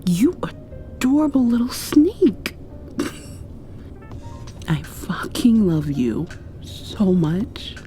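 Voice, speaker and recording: A woman doing an ASMR roleplay, drifting between whispers and soft speech in an affectionate, playfully scolding way. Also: mid-range voice, big pitch swings, very slow, pauses often, soft background music.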